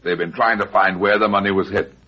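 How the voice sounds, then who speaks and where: An actor playing a wisecracking, unflappable heavyset private detective in a vintage radio drama, wry and sardonic. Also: male voice, low-pitched, fairly even intonation, fast. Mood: confident, explaining. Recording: muffled vintage radio recording.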